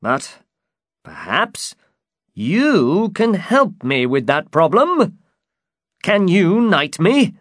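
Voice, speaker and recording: A man's voice: sits high, wildly swooping pitch, drawn-out words, pauses often, a bit bright, no room sound, clean studio sound, pitched above the performer's own voice, full character voice. A man voicing an eager, pompous old would-be knight in a storybook, speaking with earnest chivalry. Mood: earnest, pleading. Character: eager, grandiose old man who believes he's a knight. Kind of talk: dramatized storybook reading.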